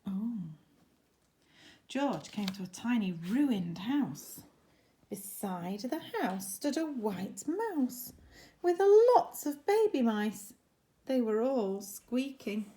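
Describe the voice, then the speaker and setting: Lady teacher reading kids story, highish voice, expressive, takes her time, little bit of noise. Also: takes it slowly. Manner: expressive, chatty asides to listeners. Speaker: teacher reading a picture book. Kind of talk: children's story narration.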